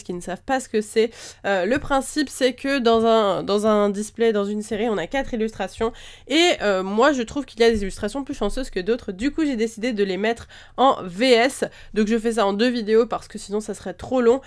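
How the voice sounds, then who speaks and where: A woman's voice: fairly high pitch, a little fast. A woman with a slight cold, chatting casually as she opens card packs and lists each one, with lots of hesitant fillers. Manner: chatty, lists items one after another, frequent "euh" fillers. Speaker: card-opening video host. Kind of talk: casual chat while opening trading card packs.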